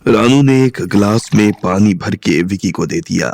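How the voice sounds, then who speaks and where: A man narrating an audio drama with steady storytelling. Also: on the low side, a little slow, vocal fry. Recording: soft music bed underneath.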